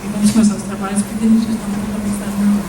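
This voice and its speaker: A woman's voice: medium pitch, level tone, very fast. Woman moderator introducing speakers in a hall. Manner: formal, reading out credentials in a list. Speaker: session moderator. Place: lecture hall. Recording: echoey hall from far off, some noise.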